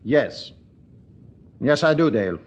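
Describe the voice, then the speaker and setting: An actor playing a gruff, irritable middle-aged British police commissioner, brisk and testy, in 1940s radio drama style. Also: male voice, medium pitch, animated, highs cut off. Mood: grave, authoritative.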